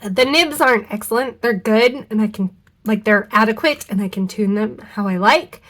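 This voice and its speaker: A woman's voice: mid-range voice, normal speed, fairly bright tone. A young woman with a stuffy, nasal voice from a cold, chatting casually to camera in her home studio, rambling and correcting herself as she goes. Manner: chatty, rambling, self-correcting with many ums and likes. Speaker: fountain pen vlogger. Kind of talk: casual unboxing chat to camera.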